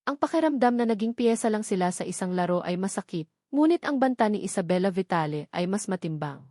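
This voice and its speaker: A woman's voice: mid-range voice, animated, a little fast, warm tone, dry recording, clean studio sound. A woman reading a scripted romance story as a dramatic narrator.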